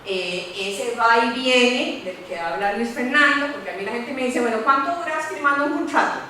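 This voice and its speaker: A middle-aged woman lawyer, speaking on a university panel in a candid, animated way and often adding "¿verdad?". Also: a little high, moderate pace, a little hiss or hum. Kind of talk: panel talk. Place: lecture room. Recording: echoey room, voice from far off.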